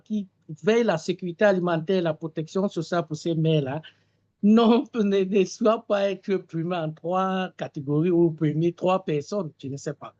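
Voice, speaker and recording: A man's voice: sits high, a little mellow, dull audio. A man making an impassioned plea on a video call. He stammers and repeats words as he talks. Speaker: nominee and farming advocate.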